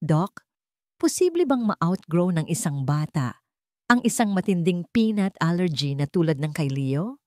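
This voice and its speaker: A woman's voice: fairly low pitch, very animated, a little slow, warm tone, no echo. A commanding male CEO from a romantic drama, voiced by a woman narrator. He is insistent and determined, and the sound is close and dry. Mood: questioning.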